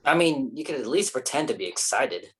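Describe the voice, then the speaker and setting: A man in a staged reading, playing a brash, joking, foul-mouthed young friend with a husky voice. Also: mid-range voice, lively intonation, brisk, a little hiss or hum, lightly acted. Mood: sardonic. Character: brash, jokey, profane young male friend.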